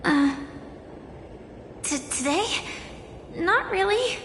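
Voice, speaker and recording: A woman voicing a gentle, earnest and cautious young woman in a video game, a little hesitant in her delivery. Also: very high-pitched, lively intonation, extremely slow, slight background noise, exaggerated voice. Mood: flustered. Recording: echoey with long reverb, music behind. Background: game music.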